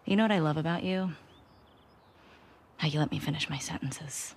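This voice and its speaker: A woman's voice: low voice, normal speed, slight background noise. An actress playing a playful young woman survivor in a video game, talking casually and teasingly. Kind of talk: naturalistic video game acting.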